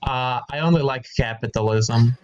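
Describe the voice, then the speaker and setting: A man's voice: medium pitch, clean tone. Croatian-born man, cool and assured debater, cites studies, teasing edge, dull lo-fi call audio. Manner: calm, confident, quick with data and sarcasm. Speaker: debating video chat host. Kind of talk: casual debate on video chat.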